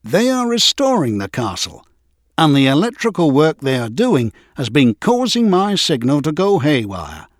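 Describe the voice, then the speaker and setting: A man's voice: a little high, wildly swooping pitch, normal speed, no echo, clean studio sound. A man voicing a helpful railway signalman who explains and cautions, in a children's storybook. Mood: helpful, urging.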